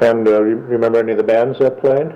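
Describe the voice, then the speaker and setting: A man interviewing an elder for an oral history, prompting gently with many mm-hmms. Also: mid-range voice, fairly even intonation, fast talker, highs cut off.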